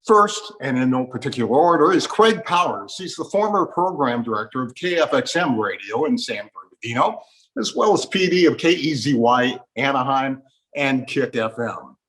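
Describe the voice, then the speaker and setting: A man's voice: medium pitch, expressive, normal speed, a bit bright, low-quality recording. A man with an American accent hosting a talk program, delivering scripted introductions like a radio presenter. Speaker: host.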